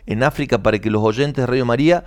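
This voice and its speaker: A man's voice: medium pitch, fast talker, a little mellow, clean tone, no echo. A middle-aged man with an Argentine accent hosting a live radio interview, encouraging his guest and helping him find words. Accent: Rioplatense Spanish. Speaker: radio host.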